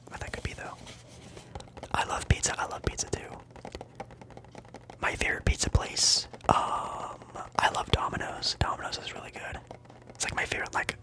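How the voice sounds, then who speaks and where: A young adult ASMR livestream host whispering casually to viewers, rambling with fillers and repeating trigger words. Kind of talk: whispered ASMR chat answering live viewers.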